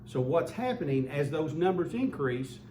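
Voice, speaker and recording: A man's voice: fairly high pitch, sing-song, moderate pace, mellow. Man giving a health warning. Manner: earnest, repeats words when restarting. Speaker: local official. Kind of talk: public health update. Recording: echoey room, mic far off, faint hum.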